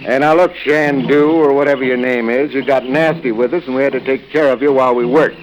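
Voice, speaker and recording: An actor playing a tough, decisive American adventurer in an old-time radio serial, brisk and commanding. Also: male voice, medium pitch, steady tone, close to an everyday voice. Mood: urgent, firm. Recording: noisy old radio drama recording.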